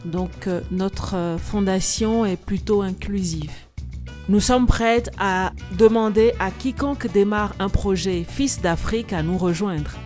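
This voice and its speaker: A woman gives a voice-over translation of an interview, reading at a steady, even pace. Faint music plays underneath. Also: mid-range voice, a little slow.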